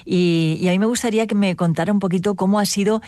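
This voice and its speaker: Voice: mid-range voice, fairly even intonation, fast, warm tone, no echo. Polite interviewer with a dry close sound. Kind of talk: interview questions.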